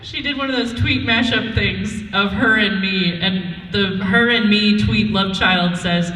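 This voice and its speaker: A woman bantering casually as a panelist at a convention, excitable and giggly. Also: mid-range voice, a little slow, soft background music. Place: large indoor convention panel room. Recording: echoey hall, distant PA sound.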